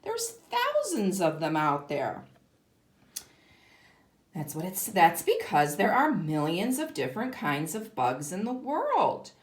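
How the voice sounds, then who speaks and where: A woman teaching young children in a read-aloud lesson, explaining simply and deliberately, with emphasis and questions to the listener. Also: lowish voice, wildly swooping pitch. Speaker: kindergarten teacher.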